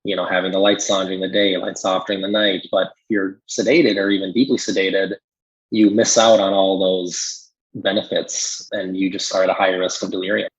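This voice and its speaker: A man's voice: sits low, flat delivery, a little fast, breathy edge, lo-fi sound. A man co-hosting a medical podcast, talking casually and agreeably as he explains points with everyday examples. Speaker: pharmacist and podcast co-host. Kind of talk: casual professional discussion.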